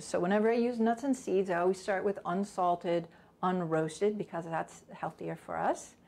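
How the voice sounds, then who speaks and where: A woman's voice: on the low side, a little mellow. A middle-aged woman giving a casual cooking class in her home kitchen, chatting and thinking aloud as she works, recorded by a camera a few steps away. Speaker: cooking instructor.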